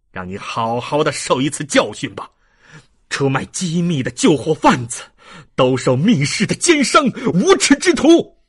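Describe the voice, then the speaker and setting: A man playing a passionate, indignant young nobleman in an audiobook, with a husky voice and forceful, declamatory delivery. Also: a little high, exaggerated voice. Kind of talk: audiobook character acting.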